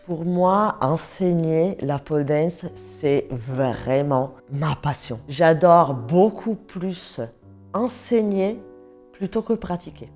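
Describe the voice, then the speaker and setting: A woman's voice: sits low, slow, a little mellow. A woman in her thirties from Italy, speaking with an Italian accent as a teacher sharing her own story in an interview. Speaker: pole dance teacher and studio manager. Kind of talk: interview testimonial, personal storytelling. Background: music bed.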